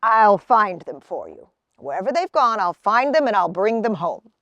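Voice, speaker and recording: A woman's voice: mid-range voice, sing-song, moderate pace, a bit bright, dry recording, lo-fi sound. A woman voicing a polite, kindly male squirrel in a storybook, with a comforting manner. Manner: polite, soothing.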